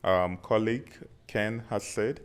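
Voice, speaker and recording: A man from southeastern Nigeria introducing himself in a relaxed, friendly way, with many pauses and fillers. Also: fairly low pitch, smooth. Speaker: visiting speaker at a community meeting. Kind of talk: casual self-introduction.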